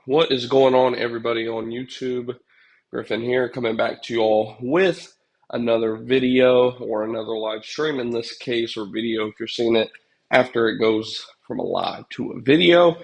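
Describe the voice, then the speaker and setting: A man's voice: mid-range voice, normal speed, gravelly. A young man with a stuffed-up, congested voice hosts a casual livestream, rambling with lots of fillers and chatting to viewers. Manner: rambling, filler-heavy, addresses chat viewers. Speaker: livestream host, pest control business owner.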